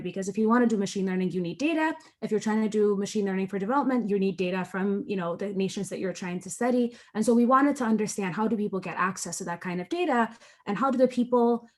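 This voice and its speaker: A woman from Ethiopia, a researcher speaking as a panelist in an online discussion over a video call, earnest and conversational, often checking in with "right?". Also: mid-range voice.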